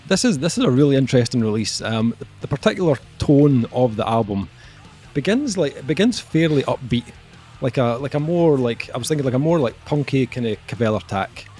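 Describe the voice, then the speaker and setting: A man's voice: mid-range voice, very animated, darkish tone, breathy edge, faint music behind. A young man reviewing an album on a podcast, talking casually with lots of ums and joking at himself. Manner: halting, lots of ums, jokey self-mockery.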